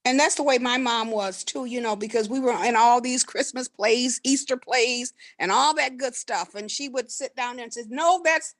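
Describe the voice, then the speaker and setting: A woman speaks up in a book club discussion on a video call. Her voice sits high. She is assured and matter-of-fact. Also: normal speed, few pauses, a bit bright. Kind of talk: casual group discussion.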